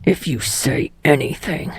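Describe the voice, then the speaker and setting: A woman voicing a grumpy, seething young man in a curt, husky growl for an audiobook-style story. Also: low-pitched, a little slow, no room sound, voice pushed down, heavily acted. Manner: curt, menacing.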